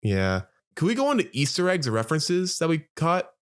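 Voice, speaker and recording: A man co-hosting a casual movie review podcast, talking in a wry, offhand way, his voice going a little raspy. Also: fairly high pitch, wildly swooping pitch, a little fast. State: slightly raspy voice. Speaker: podcast host.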